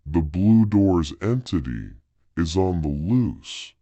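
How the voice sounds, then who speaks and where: Deep, dark-voiced man reading an emergency alert. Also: lively intonation, slow pace, slightly rough, no room sound. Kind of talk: scripted emergency broadcast announcement.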